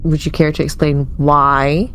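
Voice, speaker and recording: A woman's voice: low-pitched, level tone, measured pace, a bit bright, a little hiss or hum. A woman voicing a stern, unimpressed female starship commander who reprimands with authority in a tabletop game.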